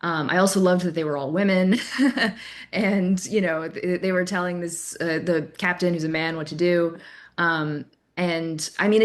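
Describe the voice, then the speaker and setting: A young woman chatting casually as a podcast guest, hesitant and saying "like" throughout. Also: fairly low pitch. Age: young adult. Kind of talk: casual chat.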